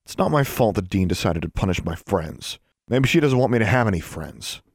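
A man's voice: fairly low pitch, lively intonation, fairly quick, no room sound, studio quality. A man voicing a headstrong, sullen young schoolgirl witch in a story, defensive and prone to muttering. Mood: resentful.

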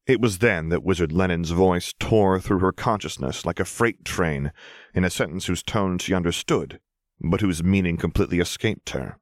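Deep-voiced man narrating an audiobook. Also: normal speed, no echo, clean studio sound. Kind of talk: scripted story narration.

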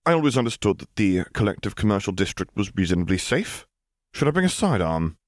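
A man's voice: lowish voice, big pitch swings, fairly quick, dry recording, clean studio sound. A man voicing a middle-aged naval admiral in an audiobook, proper and wry, with an anxious, hesitant formality. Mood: stiff, wry.

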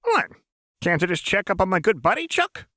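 Man putting on a brash, manic woman with lively pitch. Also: brisk, no echo, studio quality, exaggerated voice. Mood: teasing. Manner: brash, abrupt, swings from chummy to threatening. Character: manic, menacing, playful woman with an unsettling grin. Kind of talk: audiobook character voice.

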